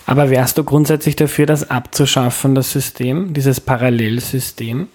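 A man hosting a podcast interview, with a voice of ordinary middle pitch. He asks his questions plainly and conversationally, with the occasional filler. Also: steady tone, normal speed, a little mellow. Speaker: podcast host. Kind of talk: interview and reflective closing.

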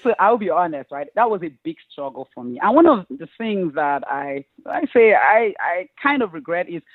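A middle-aged woman from Nigeria with a Nigerian accent, chatting as a guest in a relaxed interview. She laughs often and talks with many fillers and restarts. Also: on the low side, moderate pace, no room sound. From Enugu State, Nigeria. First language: Igbo. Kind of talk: casual conversational interview. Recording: tinny phone-like line, dry.

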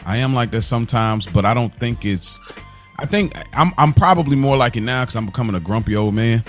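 A man's voice: medium pitch, lively intonation, brisk, faint music behind. Casual American man chatting on a podcast. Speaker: podcast co-host. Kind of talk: casual conversational chat.

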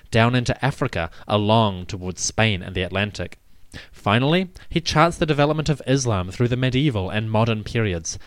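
A man hosting a podcast, reading scripted narration with articulate, deliberate phrasing. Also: mid-range voice, no echo. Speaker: podcast host.

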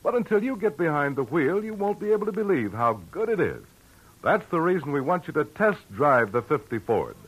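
Husky, lively old-time radio ad announcer. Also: male voice, a little fast, rough recording. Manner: persuasive sales pitch. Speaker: commercial announcer. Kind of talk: 1950 radio commercial.

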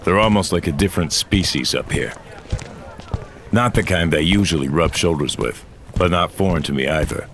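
An actor playing a weary, stubborn old cyborg courier in a science-fiction audio drama, gruff, terse and dry. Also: male voice, deep voice, moderate pace, a little hiss or hum. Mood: dry, reflective. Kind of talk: audio drama acting and first-person narration.

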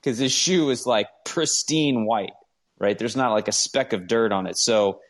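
A man's voice: fairly low pitch, measured pace. A man chatting casually as a sports show host, emphatic and sarcastic with mock outrage. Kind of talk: casual sports fan chat.

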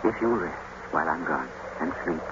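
An actor playing a gentle, devoted gentleman husband, tender and theatrical in 1950s radio drama style. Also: low voice, animated, normal speed. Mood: tender, concerned. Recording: noisy, muffled old radio broadcast.

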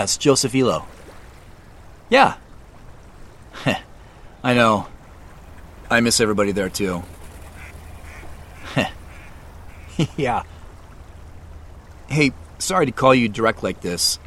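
A man's voice: mid-range voice, fairly bright tone, exaggerated voice. An actor playing a wry, beleaguered office man on the run, narrating his own audio drama in the first person with understated, muttered asides. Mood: friendly, casual. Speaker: actor narrating as main character.

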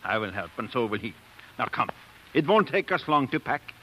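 An actor playing a steady, encouraging colleague of a scientist, earnest and firm, in 1940s radio drama style. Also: male voice, mid-range voice, moderate pace, breathy edge, heavily acted, rough recording. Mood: earnest, reassuring. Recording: old 1940s radio recording.

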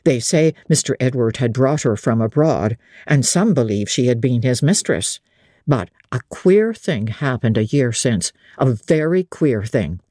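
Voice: medium pitch, lively intonation, measured pace, mellow, no room sound, clean studio sound. A reader voicing an older male country innkeeper who tells gossip in a hesitant, confiding rustic way, in an audiobook. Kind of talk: audiobook character reading.

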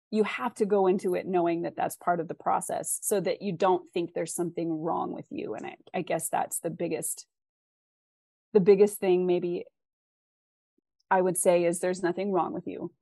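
A woman with a slightly low voice talks as an interview guest, recorded close and dry. She gives advice in a candid, encouraging way, leaning on key words for emphasis. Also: mellow, no echo. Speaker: interview guest, author and freelance writer. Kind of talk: conversational interview, giving advice.

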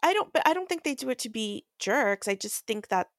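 A woman's voice: on the high side, lively intonation, fairly quick, a bit bright, no echo, studio quality. A woman co-hosting a podcast, casually discussing and recapping books. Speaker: podcast co-host.

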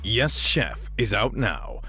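Grandiose slow male trailer announcer over music. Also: fairly low pitch, sing-song, sharp tone. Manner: grandiose, theatrical. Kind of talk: dramatic movie-trailer narration. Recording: music behind.

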